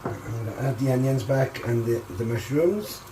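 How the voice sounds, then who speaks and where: Croaky man cooking at home. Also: medium pitch, normal speed, slight background noise, low-quality recording. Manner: relaxed, chatty step-by-step talk. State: slightly hoarse, croaky. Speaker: home cook. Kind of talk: casual cooking commentary. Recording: frying sizzle behind voice.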